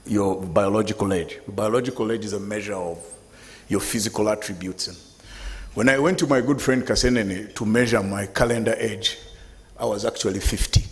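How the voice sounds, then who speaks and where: A man in his fifties giving a lively, conversational talk to a live audience at an event, speaking to them directly. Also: lowish voice, normal speed. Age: 50s. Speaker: guest speaker. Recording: long hall reverb.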